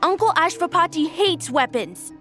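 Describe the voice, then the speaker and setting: Young game orphan: extremely high voice, earnest and loyal, protesting over music. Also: female voice, lively intonation, normal speed, a bit bright. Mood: distressed, defiant. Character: loyal, upset orphan child defending guardian uncle. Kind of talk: video game voice acting.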